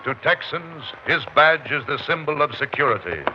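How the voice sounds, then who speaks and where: A man's voice: on the high side, expressive, normal speed, crowd noise, noisy background. A man announcing a 1950s radio show in a dramatic, declamatory scripted style. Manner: emphatic, declamatory. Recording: muffled old radio recording. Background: loud music.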